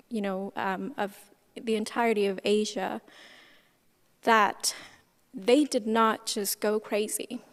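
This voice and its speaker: A woman speaking on a panel in a heartfelt, reflective way. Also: mid-range voice. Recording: reverberant hall.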